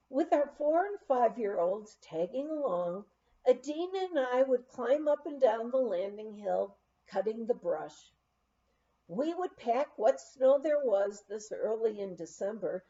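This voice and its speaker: A woman's voice: a little high, dark tone. A woman reading a memoir aloud as an audiobook narrator, on a slightly dull recording. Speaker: audiobook reader.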